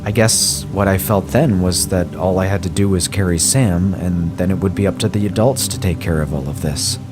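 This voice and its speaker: Low-voiced man narrating horror over music. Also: normal speed. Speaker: horror story reader. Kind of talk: scripted first-person horror narration. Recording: music bed under the voice.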